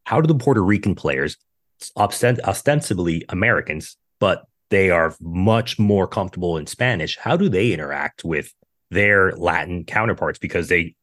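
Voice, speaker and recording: Male podcast host, very low voice, dry close sound, rambling questions. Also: fairly bright tone, dry recording. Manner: rambling, long questions with restarts. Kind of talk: casual interview questions.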